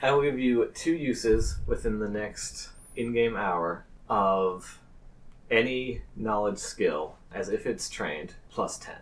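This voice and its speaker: A man running a tabletop roleplaying game as game master, improvising narration in a deliberate, halting way. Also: lowish voice, recorded from a distance, poor audio.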